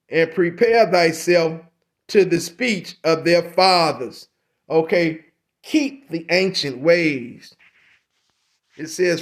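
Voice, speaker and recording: Man, high-pitched voice, preaching scripture lesson, repetitive street-corner preacher cadence. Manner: repetitive, preachy, reads verses aloud slowly. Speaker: religious teacher.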